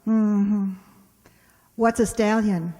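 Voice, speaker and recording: A woman's voice: medium pitch, fairly even intonation, extremely slow, dark tone. A woman playing a sensible, patient roommate in a radio comedy, with a dry, wry, explaining manner. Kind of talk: radio sitcom acting. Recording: echoey hall, long reverb.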